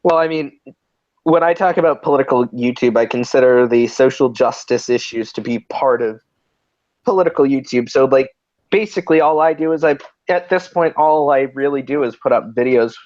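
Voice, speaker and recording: A man's voice: medium pitch, darkish tone, no echo, highs cut off. Rambling man with an androgynous voice. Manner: rambling, many restarts and fillers. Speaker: host. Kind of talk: casual livestream chat.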